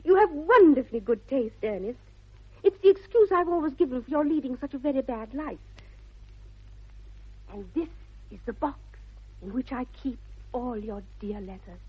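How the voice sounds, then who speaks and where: A dull vintage radio recording of a young Victorian lady with a British accent. Her voice is very high and a little husky. She speaks precisely and with great earnestness, dreamy and headstrong, in the manner of a 1960s comedy of manners. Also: lively intonation, normal speed, long pauses. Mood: dreamily romantic. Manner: precise, earnest, affected delivery.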